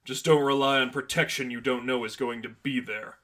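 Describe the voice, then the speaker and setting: A man's voice: medium pitch, steady tone, brisk, fairly bright tone, natural voice. A stern adult male masked crimefighter, read by a man narrator, terse and humorless. He speaks seriously in a storybook superhero tale.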